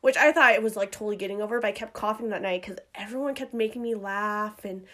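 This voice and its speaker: A woman hosting a podcast in casual chat, rambling with lots of fillers, her voice a little hoarse from a cough. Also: medium pitch, fast talker, a bit bright. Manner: chatty, rambling with many fillers and restarts. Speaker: podcast host.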